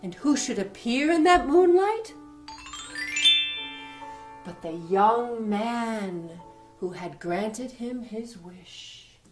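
A woman's voice: fairly high pitch, drawn-out words, long pauses, soft background music. A woman narrating a fairy tale expressively, as a storyteller. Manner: expressive, animated reading.

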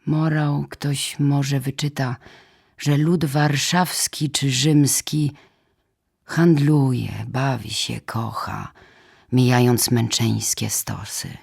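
A woman with a low, husky, breathy voice reads poetry in a solemn, dramatic way, phrasing deliberately in short measured lines. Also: steady tone, a little mellow. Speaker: poetry reader. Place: radio theatre studio.

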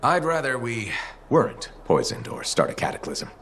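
An actor playing an easygoing, gently joking freelancer pilot in a video game, dry and casual. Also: male voice, mid-range voice, very animated, moderate pace. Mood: dry, curious. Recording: game audio with ambient noise.

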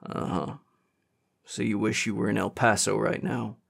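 A man's voice: on the low side, fairly even intonation, normal speed, creaky, husky, full character voice. A man voicing an angry, hardened teenage boy, bitter and wounded, with a growling, demanding delivery in an audiobook. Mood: sarcastic.

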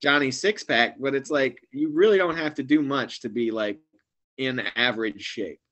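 A man in his forties, a guest actor and comedian, chatting casually over a webcam call from a small room at home, rambling and trailing off quietly at the ends of phrases. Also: mid-range voice, moderate pace. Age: 40s. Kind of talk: casual video-call chat. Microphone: webcam video call.

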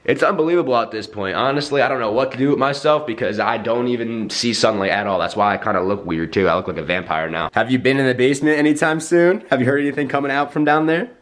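A man's voice: medium pitch, quick pace, hardly any pauses, fairly bright tone. A young man vlogging to a handheld camera at arm's length in a house, talking in a hyped, playful way. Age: young adult. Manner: hyped, playful, whiny when pleading. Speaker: YouTube vlogger. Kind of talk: energetic casual vlog talk. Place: house interior, bedroom and hallways.

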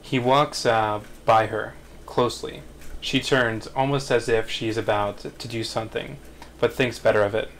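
A man's voice: on the low side, fairly even intonation, unhurried, a bit bright. Man narrating script, faint noise. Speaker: narrator reading stage directions. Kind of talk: scripted narration at a table read.

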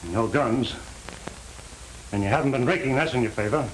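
An actor playing a stern but fair middle-aged parole officer in a 1930s crime film. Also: male voice, medium pitch, moderate pace, close to an everyday voice. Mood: firm, lenient. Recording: roomy, hissy old film soundtrack.

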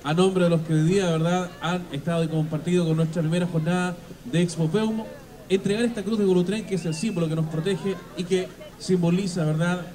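A man emceeing a festival on an outdoor stage, talking into a handheld mic through the PA with emphatic, drawn-out phrasing. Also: high voice, fairly even intonation. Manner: emphatic, drawn-out announcer delivery with repeated fillers. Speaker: event MC. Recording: live PA sound with loud crowd.

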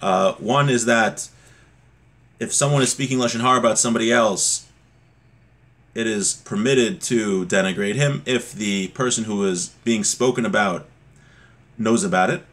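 A middle-aged man giving an informal religious lesson, explaining with examples in a conversational, somewhat halting way. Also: fairly low pitch, fairly bright tone. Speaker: religious teacher. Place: small home room, indoors. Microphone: webcam or laptop mic at desk distance.

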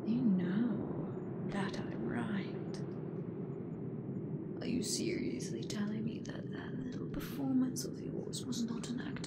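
A British woman playing a hateful, gloating sentient AI god in an ASMR roleplay, with a theatrical, mocking, often breathy delivery. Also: fairly high pitch. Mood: taunting, possessive.

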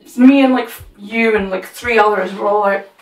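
A woman's voice: mid-range voice, normal speed, distant mic. A woman in her twenties chatting casually as a guest, recorded in a small room. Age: 20s. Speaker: guest, friend of the host. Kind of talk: casual chat. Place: small bedroom indoors. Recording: roomy echo, off the mic, faint music.

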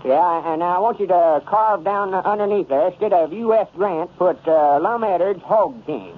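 A man's voice: sits high, moderate pace. An actor playing a vain old country man with a rural Southern US accent and a pompous drawl, in old radio comedy style. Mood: officious. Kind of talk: 1930s radio comedy acting. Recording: noisy, tinny old radio recording.

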